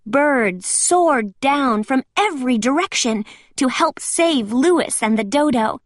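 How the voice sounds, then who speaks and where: A woman reading a children's storybook aloud with expressive, deliberate phrasing. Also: sits high, dry recording, clean studio sound.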